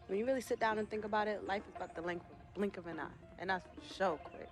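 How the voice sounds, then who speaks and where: A woman's voice: mid-range voice, a little fast, music in the background, lightly acted. A woman playing a playful, loyal young American sister in game dialogue, speaking in a slangy, teasing way. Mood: reflective. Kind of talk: naturalistic game cutscene dialogue.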